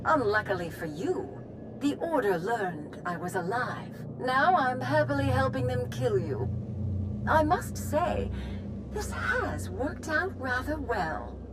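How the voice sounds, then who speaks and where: An actress playing a vindictive Sith villain in a video game, with a sardonic, taunting, theatrical manner. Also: female voice, high-pitched, very animated, slow, background noise, full character voice. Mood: smug gloating.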